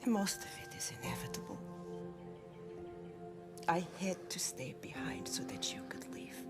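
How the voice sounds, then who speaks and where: A tender, long-suffering mother in a video game drama. The low voice is hushed and breathy, soothing and solemn, with slow phrases that rise and fall widely. Music swells behind it. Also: sing-song, whispered, exaggerated voice. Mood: sorrowful, soothing. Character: gentle, consoling, long-suffering mother of a grown son. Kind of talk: dramatic game cutscene acting.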